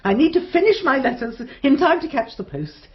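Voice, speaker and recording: Imperious, high-voiced English lady. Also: sing-song, fairly quick. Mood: flustered, snappish. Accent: English Received Pronunciation. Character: imperious, flustered older English lady of the house. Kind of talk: radio drama acting. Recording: dull, noisy radio drama recording.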